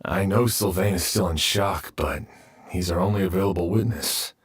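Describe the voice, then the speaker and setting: An actor playing a stern, methodical investigator in a video game, formal and probing. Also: male voice, low-pitched, normal speed, breathy edge. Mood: serious, probing. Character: stern, methodical male investigator questioning witnesses. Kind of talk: dramatic video game voice acting.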